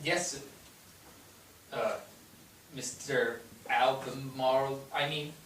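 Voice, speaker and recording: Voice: mid-range voice, fairly even intonation, slow, fairly bright tone. A performer playing a polite, defiant twelve-year-old immigrant boy in a staged reading. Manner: respectful, clipped answers, stubborn when pressed. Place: theatre stage. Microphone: distant microphone. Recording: distant, echoey stage with noise.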